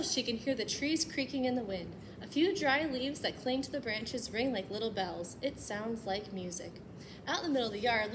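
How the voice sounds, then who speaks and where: A woman reading a picture book aloud as a storytime host, with expressive, animated delivery and chatty asides aimed at young children. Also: on the high side, background noise.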